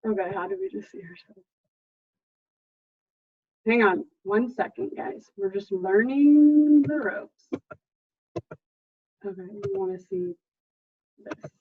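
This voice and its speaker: A woman's voice: mid-range voice, animated, takes it slowly, pauses often, no echo. A woman teacher chatting casually while getting set up on a video call. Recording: narrow, phone-like video call audio.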